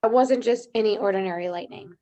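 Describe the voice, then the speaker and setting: A woman's voice: medium pitch, quick pace, warm tone, lightly acted. A woman playing a laconic magical dog girl with casual, short, dry replies in a script read. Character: laconic girl-dog who sniffs, digs and summons lightning.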